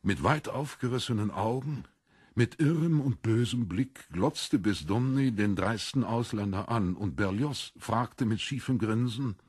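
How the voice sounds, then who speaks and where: A man narrating a literary audiobook in an expressive, scripted reading style. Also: fairly low pitch, a little slow, husky, dry recording, clean studio sound.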